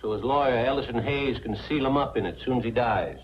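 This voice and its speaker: An actor playing a drily morbid small-town monument carver, laconic and folksy, in 1940s film style. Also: male voice, medium pitch, quick pace, lightly acted. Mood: dry, casual. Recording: noisy, dull 1940s film soundtrack.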